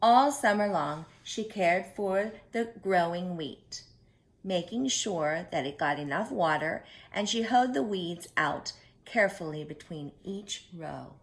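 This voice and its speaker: Slow-paced woman reading to small children. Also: lowish voice, expressive. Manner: slow and deliberate, pausing to put questions to young listeners. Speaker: children's storyteller. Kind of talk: storybook read-aloud to young children.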